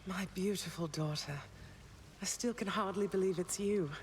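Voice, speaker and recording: A queen of the dead, acted by a woman for a game, regal and motherly with a stern core. She speaks in a poised, measured, dry way, with faint ambient noise behind her. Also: mid-range voice, lightly acted. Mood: tender. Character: regal underworld queen, loving but sternly unforgiving mother. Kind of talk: video game dramatic acting.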